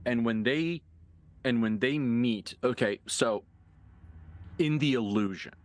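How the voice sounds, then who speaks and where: A man's voice: medium pitch, slow. A man working as game master, narrating a tabletop horror game in a vivid, improvised, dramatic storytelling manner.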